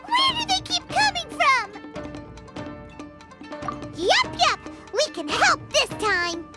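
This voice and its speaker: A woman voicing a tiny, excitable, childlike fairy companion in a video game, chattering in exclamations. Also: extremely high pitch, slow pace, over loud music, exaggerated voice. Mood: excited, indignant.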